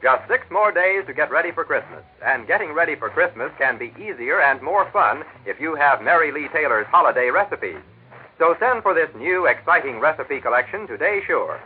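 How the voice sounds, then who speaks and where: A man's voice: fairly high pitch, animated, brisk. A man working as a 1940s radio announcer, reading advertising copy with polished, upbeat salesmanship. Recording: tinny, muffled 1940s radio recording.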